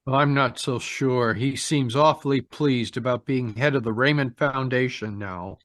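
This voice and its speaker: An actor playing a suspicious, defiant young nephew in an old-style radio mystery. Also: male voice, mid-range voice, normal speed, fairly bright tone, no echo, studio quality, natural voice. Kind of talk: 1940s radio mystery acting. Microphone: video call.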